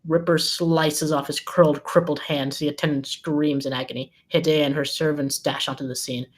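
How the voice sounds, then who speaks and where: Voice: medium pitch, little pitch movement, normal speed. A narrator reading scripted stage directions aloud at a screenplay table read.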